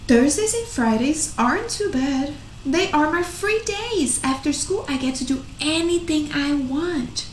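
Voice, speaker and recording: A woman voicing a grumpy, whiny young schoolboy from a storybook, with exaggerated, drawn-out complaints. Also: high-pitched, animated. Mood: disgruntled. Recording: echoey room, faint noise.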